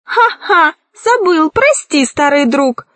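A woman's voice: high voice, big pitch swings, slow pace, sharp tone, no echo, pitched above the performer's own voice, heavily acted. A woman voicing a jolly, mocking, cruel male villain in a children's storybook. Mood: breezy. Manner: gleeful, mocking.

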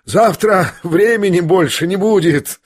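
Male narrator playing sick man, feverish, hysterical, defiant, excitable, raised pitch, theatrical audiobook character. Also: fairly quick, breathy edge. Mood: hysterical, mocking.

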